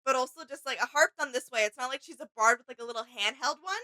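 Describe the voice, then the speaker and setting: A woman with a high, bright voice, recorded dry and close. She talks casually as a co-host, agreeable and a little tentative as she offers her thoughts. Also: quick pace, dry recording. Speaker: reaction video co-host. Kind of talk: casual opinion chat with co-host.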